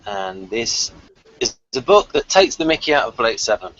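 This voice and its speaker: A man's voice: medium pitch, very animated, moderate pace, a bit bright. A man hosting a casual review discussion on a video call, rambling and thinking aloud as he goes. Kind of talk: casual unscripted chat. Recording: dull lo-fi video call audio.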